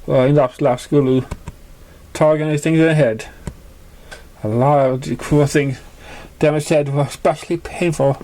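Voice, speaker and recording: A man with a fairly high voice narrates a story aloud. His reading is uneven, with slips and stumbles over the wording. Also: steady tone, moderate pace, pauses often, dark tone. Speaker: fan fiction reader.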